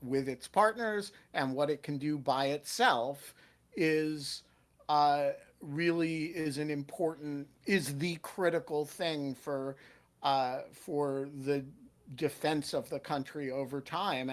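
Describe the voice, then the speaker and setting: A man hosting an online panel discussion, talking emphatically and thinking aloud with fillers and restarts. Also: a little high. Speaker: host.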